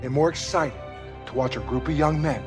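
A man's voice: on the high side, brisk, music in the background, lightly acted. A man playing a fatherly veteran football coach in a game cutscene, giving a plain-spoken, emphatic, resolute pep talk. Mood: rousing.

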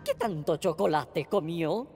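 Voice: mid-range voice, expressive, measured pace, darkish tone, faint music behind. A performer voicing a prim, devoted male butler in a Latin American Spanish cartoon dub, formal and admonishing. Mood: concerned, scolding. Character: fussy, devoted asparagus butler, mature male. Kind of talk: animated cartoon dubbing.

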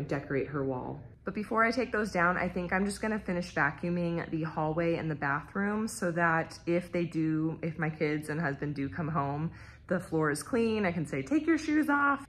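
A woman in her thirties, a stay-at-home mom, chatting casually about her cleaning plans at home, filmed on a phone held at arm's length. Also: low-pitched. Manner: chatty, plain, thinking aloud. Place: her house, indoors.

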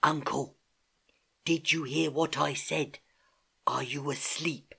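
An earnest, curious schoolgirl from a storybook, put on by the narrator. Her voice sits high and breathy, with swooping questions and ready exasperation. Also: huge pitch swings, normal speed, fairly bright tone, exaggerated voice. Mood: earnest, puzzled. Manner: questioning, eager.

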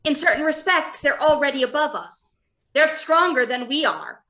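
A woman's voice: high-pitched, animated, normal speed, bright, forceful tone. A woman acting a defiant, guilt-ridden scientist in an old radio drama, emphatic and declamatory. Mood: confessing.

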